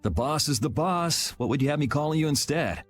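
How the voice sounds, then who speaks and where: A man voicing a cool, easygoing young swordsman in an animated role-playing video game, casual and matter-of-fact. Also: fairly high pitch, a little fast. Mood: teasing, encouraging. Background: faint background music.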